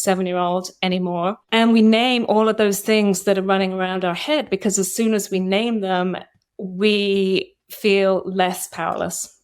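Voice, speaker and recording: A woman in her fifties speaking as a therapist guest, explaining and guiding an exercise in a measured, instructive way. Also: medium pitch, smooth. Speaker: guest psychotherapist and trauma coach. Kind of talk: explanatory interview talk with guided exercise.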